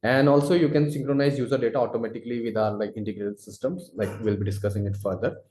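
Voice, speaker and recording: A man presenting a software product in a webinar, with a halting, informal delivery full of verbal tics. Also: medium pitch, fairly even intonation. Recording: echoey room sound.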